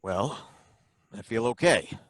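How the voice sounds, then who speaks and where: Fumbling city inspector, mid-pitched, lively delivery, dry close sound, old-time radio play. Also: male voice, no echo. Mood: suspicious. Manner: probing, hesitant, halting questions. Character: suspicious, bumbling male license inspector. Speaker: license inspector.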